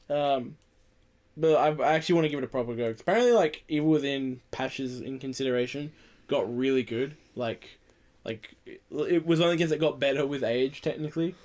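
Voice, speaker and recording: A man's voice: medium pitch, moderate pace, a little mellow. A tired young man hosting a casual video game podcast, rambling along with swearing and jokes. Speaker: podcast host. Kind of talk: casual chat about video games.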